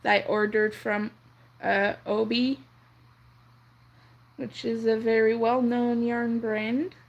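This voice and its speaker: A young woman whose first language is French, chatting softly and rambling on a crochet livestream. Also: fairly high pitch, little pitch movement, slow, dull audio. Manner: soft-spoken, rambling, counts under her breath. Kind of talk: casual chat while crocheting.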